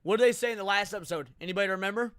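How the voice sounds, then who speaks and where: A male streamer with a high, clear voice reacts casually as he watches along with his audience. His talk is slangy and profane, and the sound is dry and close to the mic. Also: very fast, fairly bright tone, no room sound. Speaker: livestream host. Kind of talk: casual live reaction commentary to horror series.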